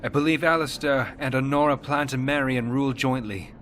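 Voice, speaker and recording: A man playing composed, mid-pitched warrior hero. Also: fairly even intonation, a little fast, a bit bright, lightly acted. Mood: composed, probing. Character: male warrior hero, probing, composed and loyal. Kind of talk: video game cutscene acting.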